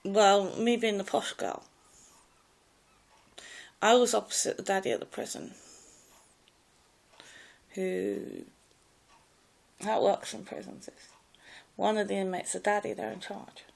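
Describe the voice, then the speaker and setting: A woman with a posh English public school accent and a slight clipped American edge, telling her own story in a loud, rambling way with many hesitations. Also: medium pitch, fairly even intonation, slow pace, vocal fry, pauses often. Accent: posh English public school with a slight American Ivy League clip. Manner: hesitant, many fillers and restarts. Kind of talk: rambling personal monologue.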